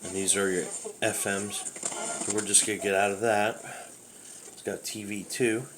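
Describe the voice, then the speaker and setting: A man's voice: on the low side, little pitch movement, moderate pace, background noise. A man casually showing off an old radio at home, rambling and thinking aloud as he goes, filmed on a handheld camera close by. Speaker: radio collector demonstrating a radio. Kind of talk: casual product demo. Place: home room with a window.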